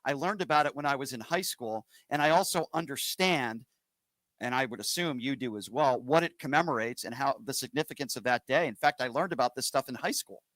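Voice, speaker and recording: A man's voice: medium pitch, fairly quick, fairly bright tone, no room sound. A man hosting a political call-in radio show, combative and sarcastic, cutting across callers.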